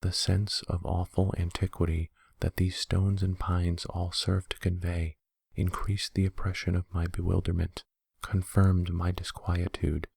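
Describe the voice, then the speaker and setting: Soft-spoken man with a very deep, gravelly voice. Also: completely flat delivery, no echo. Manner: slow, deliberate, hushed storytelling. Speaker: narrator of a horror story. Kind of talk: soft-spoken ASMR audiobook reading.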